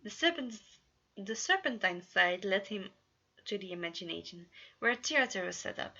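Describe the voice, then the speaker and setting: A woman narrates a story in a bright, forward voice at a middle pitch. She reads expressively, like an amateur, with the odd stumble. The recording is slightly dull and lo-fi. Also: moderate pace, vocal fry. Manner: expressive, with occasional stumbles over words. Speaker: fan fiction narrator.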